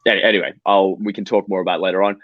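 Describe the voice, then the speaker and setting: A man, a startup co-founder explaining his product over a video call in a quick, animated way. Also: low-pitched, dry recording. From Australia. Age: 20s. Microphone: video call microphone. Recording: slightly dull, dry call audio.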